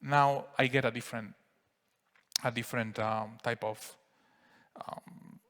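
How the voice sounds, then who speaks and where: A man with a Spanish accent giving a relaxed live software demo at a conference, explaining steps with frequent fillers. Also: mid-range voice, slow. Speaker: software presenter. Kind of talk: live product demo talk. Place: conference hall. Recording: reverberant conference hall.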